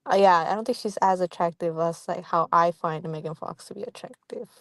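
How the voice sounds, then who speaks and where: A woman's voice: lowish voice, fairly quick, no room sound, clean studio sound. Young woman, hesitant and full of "like". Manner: giggly, hesitant, says "like" constantly. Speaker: guest. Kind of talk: casual chat.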